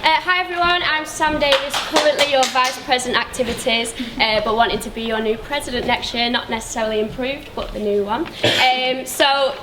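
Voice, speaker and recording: A woman's voice: high-pitched, fairly even intonation, a little fast, few pauses, very noisy. A young woman giving an upbeat campaign speech as a student union officer. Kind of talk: hustings speech.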